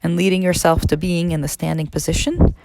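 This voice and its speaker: A woman narrating a guided meditation as an instructor, in a measured, instructional way with pauses between phrases. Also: on the low side, fairly even intonation, vocal fry, mellow, slight background noise. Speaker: neurologist leading a guided meditation.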